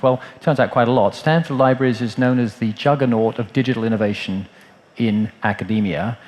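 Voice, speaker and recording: An older man from Texas with a Texan accent, introducing himself on a conference panel in a relaxed, wry way with many ums and uhs. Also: on the low side. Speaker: panelist, entrepreneur and investor.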